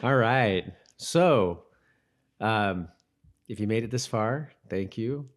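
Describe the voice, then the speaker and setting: A man co-hosting a podcast, chatting casually and informally in a halting way, as if thinking aloud. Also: on the low side, animated. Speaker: podcast co-host. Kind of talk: casual chat about a TV rewatch.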